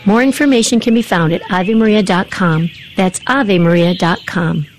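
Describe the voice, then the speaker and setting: A woman's voice: medium pitch, normal speed, soft background music. A woman reading a scripted radio commercial in an inviting, upbeat way. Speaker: ad announcer.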